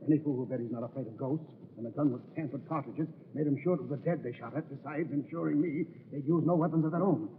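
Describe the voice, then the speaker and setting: An actor playing a boastful, impatient, scheming swindler in an old radio drama. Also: male voice, on the high side, quick pace, hardly any pauses, narrow, tinny audio, natural voice. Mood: gloating. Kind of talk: 1940s radio acting. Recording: thin, reverberant, hissy old radio recording.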